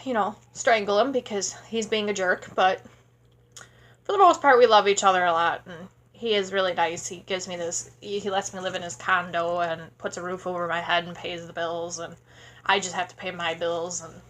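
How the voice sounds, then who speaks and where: A woman's voice: lowish voice, fairly even intonation, moderate pace, a bit bright. A sleepy woman in her thirties from Wisconsin, rambling casually through a vlog Q&A at home and talking into a tablet camera. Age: 30s. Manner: rambling, chatty, many fillers. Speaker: vlogger. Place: small home room indoors. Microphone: tablet camera at close range.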